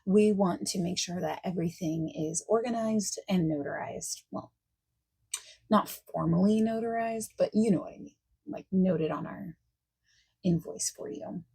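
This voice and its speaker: A woman playing a friendly, eager party planner in a soft-spoken ASMR roleplay, breathy and often near a whisper, chatty and reassuring. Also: on the low side, a little slow, exaggerated voice.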